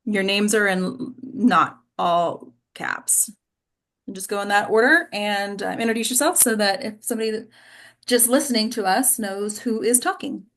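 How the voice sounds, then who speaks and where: A woman's voice: mid-range voice, normal speed, a bit bright. A woman casually hosting an online group of actors as their coach, informal and encouraging as she guides them over a video call. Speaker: host and acting coach. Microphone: computer mic on a video call.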